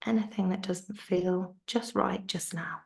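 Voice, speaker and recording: A woman teaching yoga nidra, guiding a relaxation in a soft, soothing way that sometimes sinks to a whisper, over a video call. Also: mid-range voice, steady tone, a little slow, gravelly. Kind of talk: guided relaxation instruction.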